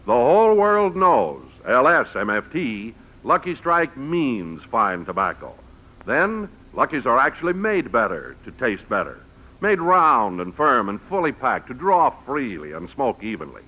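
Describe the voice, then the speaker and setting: A man's voice: mid-range voice, sing-song, a little slow. A man reading scripted 1950s radio advertising and announcements. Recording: dull old radio recording.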